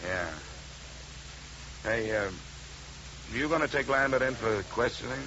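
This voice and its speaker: An actor playing a wry, weary, hard-boiled insurance investigator in a 1950 radio detective drama, dry and sardonic. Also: male voice, medium pitch, a little slow, noisy background, close to an everyday voice. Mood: businesslike. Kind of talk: 1950 radio detective dialogue and first-person narration. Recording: dull, band-limited old radio recording.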